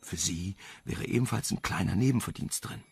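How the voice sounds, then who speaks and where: Crime radio play: suave, sardonic reporter, lowish gravelly voice, music underneath. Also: male voice, normal speed, a little mellow, heavily acted. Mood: wry, teasing. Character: wry, flirtatious investigative male journalist. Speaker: radio drama actor.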